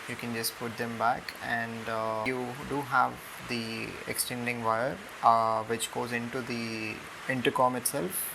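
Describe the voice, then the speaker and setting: A young man with an Indian accent giving a casual, halting unboxing and tutorial narration with many ums. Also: medium pitch, vocal fry. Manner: hesitant, halting delivery full of ums. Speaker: product reviewer. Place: indoors on a room floor. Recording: noisy home recording with steady hiss.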